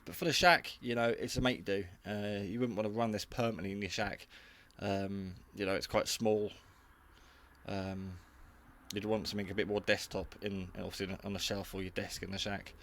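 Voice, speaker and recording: A man's voice: lowish voice, steady tone, normal speed, a bit bright, slight background noise. A man giving a casual, unscripted hobby radio review in a rambling, matter-of-fact, modest way.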